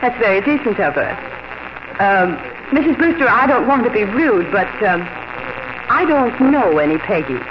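An actress playing a polite, reserved party guest in a 1950s radio drama. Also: female voice, medium pitch, very animated, moderate pace, lightly acted. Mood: puzzled, polite. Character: plain, polite, reserved New York party guest woman. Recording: noisy, muffled 1950 radio broadcast.

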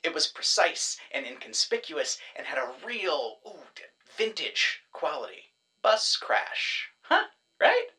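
A man voicing a boastful, giddy schemer in an audio drama, with a bright, sharp voice that leaps all over the place, swinging from loud crowing to sly whispers. He wheedles, gloats and teases. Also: a little high, big pitch swings, slow pace, exaggerated voice. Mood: gloating. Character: boastful, giddy man running a rival disappearance agency.

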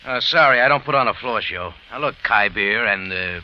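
An actor playing a tough, sardonic American cafe owner in a hard-boiled 1950s radio drama. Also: male voice, lowish voice, lively intonation, moderate pace, a little hiss or hum, natural voice. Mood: sardonic, guarded. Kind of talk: 1950s radio acting and narration. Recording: muffled old radio recording, loud music.

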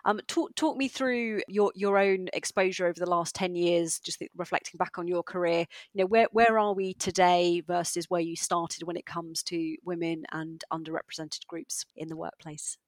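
A woman's voice: lowish voice, steady tone, normal speed, few pauses, no room sound. A woman hosting a podcast, opening the show and asking questions in an upbeat, encouraging way. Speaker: podcast host. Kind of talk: podcast hosting, scripted intro then chat.

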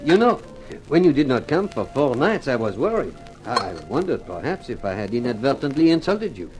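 A man's voice: medium pitch, big pitch swings, normal speed, music in the background, close to an everyday voice, low-quality recording. A man playing an obliging French bar proprietor in a 1950s radio drama, deferential and formal, with a French accent. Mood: admiring, curious.

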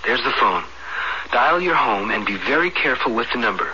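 An actor playing a kindly, easygoing young American medical student in a 1970s radio drama, informal and coaxing. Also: male voice, mid-range voice, very animated, fairly quick, highs cut off, background noise, natural voice. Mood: earnest, persuasive. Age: young adult. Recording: band-limited 1970s radio recording, loud music behind.

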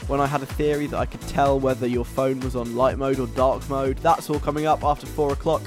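A British man hosts a radio show with a chatty, conversational delivery. His pitch is middling and his manner is wry and self-deprecating. Light music sits behind him. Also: steady tone, brisk. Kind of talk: casual chatty radio presenting.

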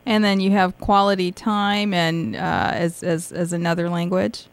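A woman's voice: lowish voice, level tone, moderate pace. A woman co-hosting an interview, lightly prompting the guest with friendly asides. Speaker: co-host.

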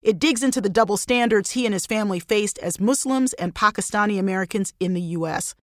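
A middle-aged woman hosting a podcast, reading a scripted intro and then asking relaxed questions. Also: mid-range voice, quick pace, dry recording, studio quality. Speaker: podcast host.

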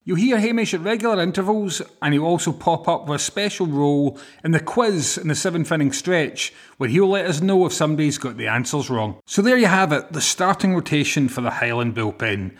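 A man's voice: on the high side, lively intonation, a little fast, few pauses. A man with a Glaswegian Scottish accent, hosting a podcast with scripted introductions. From Glasgow, Scotland. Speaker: podcast host.

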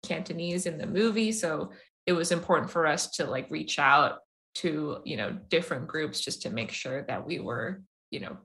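A young woman who makes animated films, answering interview questions in a casual, halting way with many restarts. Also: fairly low pitch, low-quality recording. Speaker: animation filmmaker.

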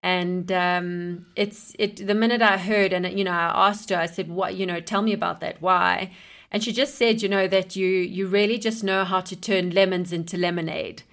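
A woman's voice: on the low side, fairly even intonation, moderate pace, a bit bright. A woman hosting a podcast, talking casually and rambling with lots of fillers and restarts. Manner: rambling, full of "you know" and restarts. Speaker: podcast host. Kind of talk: casual interview chat.

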